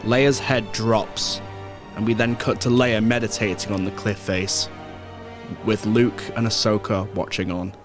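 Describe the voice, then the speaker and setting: A man's voice: on the low side, steady tone, unhurried, over music. A man reading scripted narration for an audio drama.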